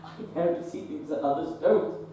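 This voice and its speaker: Young man as an anguished Victorian surgeon on stage, dark tone, heard from afar in a reverberant theater. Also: a little high, fairly even intonation, slight background noise, close to an everyday voice. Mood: despairing. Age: young adult. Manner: halting, then impassioned outbursts. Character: troubled Victorian surgeon Treves, despairing. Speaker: stage actor. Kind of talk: teen stage play performance. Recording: echoey theater recorded from far off.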